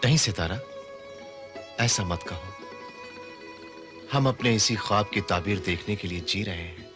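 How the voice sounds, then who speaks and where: Man playing deep-voiced lovesick young prince. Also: unhurried, music in the background, close to an everyday voice. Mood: wistful, yearning. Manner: courtly, poetic, wistful. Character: lovesick young Mughal prince, dreamy and yearning. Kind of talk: formal Urdu-flavoured period serial acting.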